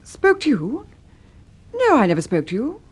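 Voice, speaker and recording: A woman playing a resolute, vengeful middle-aged mother in a vintage radio drama, deliberate and accusing. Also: high-pitched, huge pitch swings, breathy edge, full character voice. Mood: grim resolve. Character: vengeful, resolute mother trapping her daughter's killer.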